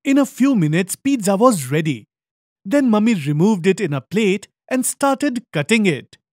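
A woman's voice: medium pitch, sing-song, normal speed, darkish tone, no room sound, clean studio sound. A woman narrating a scripted children's story.

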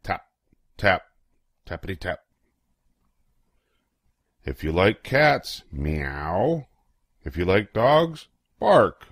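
An older man with a fairly low voice reads a picture book aloud to young children. His delivery is playful and expressive, with big swoops in pitch and a slow, unhurried pace. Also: slightly rough, dry recording, clean studio sound. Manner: playful, acts out exclamations and questions.

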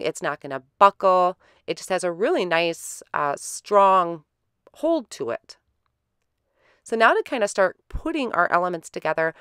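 A woman hosting a card-making tutorial in a relaxed, step-by-step voice-over, a little stuffy from a head cold. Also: lowish voice, smooth, no echo, studio quality. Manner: relaxed, step-by-step explaining with fillers.

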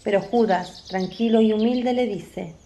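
A woman's voice: mid-range voice, unhurried, dark tone, a little hiss or hum. A woman reading scripted narration for a biblical radio drama.

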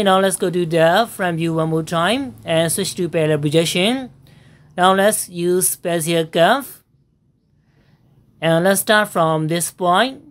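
Woman narrating a software tutorial. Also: fairly low pitch. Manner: step-by-step instructions with frequent fillers and pauses. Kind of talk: screen-recorded software tutorial voice-over.

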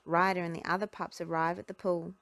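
A woman reading a children's storybook aloud with expressive, dramatic phrasing. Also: fairly low pitch, a little fast, dry recording. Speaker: children's book reader.

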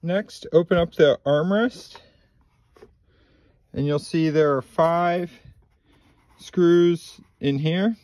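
A man in his thirties calmly walking through a car repair step by step, with a few ums, recorded inside a car. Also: on the high side, extremely slow, pauses often, no echo. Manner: plain, methodical instructions with frequent ums. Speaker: DIY repair channel host. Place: inside a car, small enclosed cabin.

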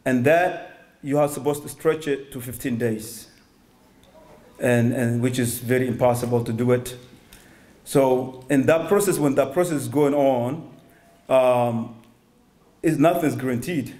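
A man's voice: mid-range voice, unhurried, pauses often, a little mellow. A young man from Somalia giving a personal testimony as a guest speaker at a stand mic indoors, hesitant, with many ums and restarts. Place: synagogue hall, indoors.

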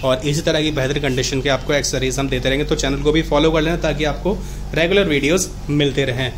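Male video host, slightly high voice, casual sales chat, light room noise. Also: fairly even intonation, fast talker. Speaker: video host interviewing a phone dealer. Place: small office shop, behind the camera.

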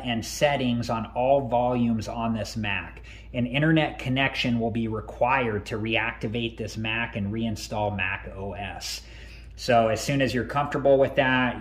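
A man hosting a tech tutorial, calmly talking through each step on screen with frequent ums and uhs. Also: mid-range voice. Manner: relaxed, many ums and uhs.

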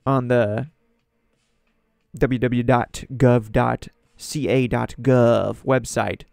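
A young man with a sore, raspy throat hosting a solo news commentary podcast from a small home studio, chatty with wry asides. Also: medium pitch, a little mellow, no echo. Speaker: podcast host. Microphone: studio mic on boom, headphones.

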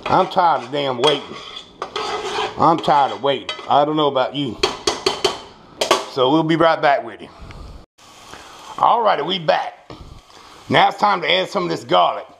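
A fairly high-voiced American man with a gravelly edge chats casually as he cooks. He is folksy and salty, repeating phrases as he goes. Kitchen clatter and sizzle run clearly behind him. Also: lively intonation, measured pace, long pauses, fairly bright tone. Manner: folksy, salty-tongued, repeats himself, talks to the food. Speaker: home cook presenting a recipe. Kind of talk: casual cooking demonstration, off the cuff. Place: small home kitchen at the stove. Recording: clear kitchen noise, pan and utensil clatter. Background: frying, stirring, clinking dishes.

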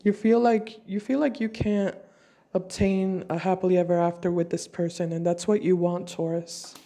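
A woman with a low, mellow voice lays out tarot cards and talks her viewers through them. She is fighting a cold, and she speaks in slow, deliberate, intuitive phrases from a table at home. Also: level tone. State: has a cold. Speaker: tarot reader.

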